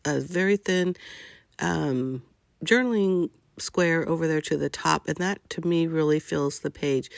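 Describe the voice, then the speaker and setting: A woman's voice: fairly low pitch, vocal fry, no room sound. An older woman with a stuffy nose from a cold, chatting through a voice-over for her scrapbooking process video and gushing over her page. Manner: chatty and gushing, with frequent fillers. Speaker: scrapbooking crafter.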